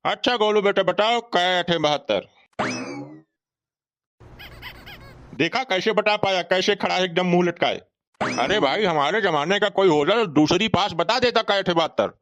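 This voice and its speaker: Man playing a high-pitched, blustering old village uncle. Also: a little fast, fairly bright tone, dull audio. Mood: boastful, mocking. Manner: blustering, argumentative, colloquial. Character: stubborn, boastful old village uncle, nostalgic and mocking. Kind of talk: comic cartoon dialogue.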